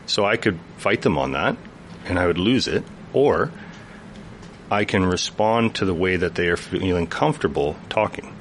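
A man speaks earnestly as a guest in a radio studio, his voice very low and deep. He explains at length, patient and thoughtful. A faint ambient hum sits behind him. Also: lively intonation, normal speed. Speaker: youth worker guest. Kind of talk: radio interview conversation.

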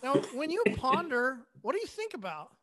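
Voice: high voice, sing-song, moderate pace, bright, forceful tone. A podcast host in casual chat, asking probing questions with lots of fillers like "you know" and "right".

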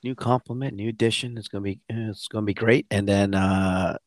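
Male coach for casual podcast chat, fairly deep voice, dry close sound, halting delivery that often restarts its phrases. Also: steady tone, no echo. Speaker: endurance coach and podcast co-host. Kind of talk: casual conversational podcast talk.

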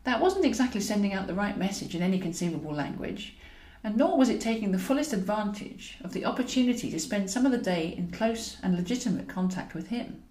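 A woman reading a comic romantic novel aloud in an expressive storytelling style, as the author of the book. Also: mid-range voice, a little fast, few pauses, reverberant, low-quality recording. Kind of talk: expressive audiobook narration of comic romantic fiction.

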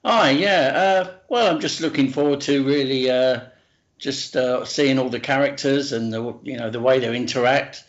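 A middle-aged man chatting casually as a podcast co-host, low-key and hesitant, over an internet call. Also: moderate pace. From London. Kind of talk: casual chat. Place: remote Skype call from home during lockdown. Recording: dull lo-fi internet call audio.

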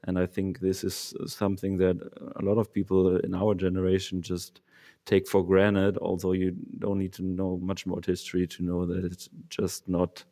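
A man's voice: deep voice, monotone, normal speed, mellow. A young man from Germany talking in a casual panel discussion, hesitant, with many fillers and restarts. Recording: long reverb.

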